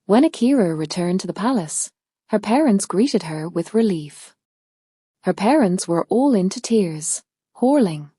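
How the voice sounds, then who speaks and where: A woman's voice: mid-range voice, animated, a little slow, warm tone, slightly rough, no room sound, clean studio sound. A woman narrating a scripted storybook tale as a storyteller.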